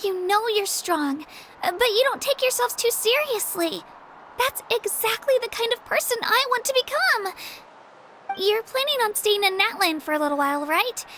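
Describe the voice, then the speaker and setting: A woman's voice: extremely high pitch, expressive, moderate pace, sharp tone, slight background noise, heavily acted. A woman voicing an earnest, humble young girl who hopes to become an adventurer, in a video game. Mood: admiring, eager. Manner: hesitant, humble, eager. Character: earnest, self-deprecating young girl, eager aspiring adventurer. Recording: background music under the voice.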